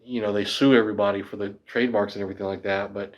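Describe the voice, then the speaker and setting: Chatty man in his fifties, lowish voice. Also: fairly even intonation, very fast, muffled recording. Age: 50s. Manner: chatty, rambling, self-deprecating. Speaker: guitar teacher. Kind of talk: casual talk to camera.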